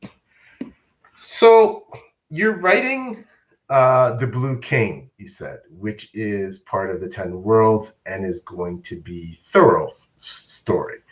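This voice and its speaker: A low-voiced man hosts a casual live-streamed interview. He is often halting and searches for his words as he asks questions. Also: expressive, low-quality recording.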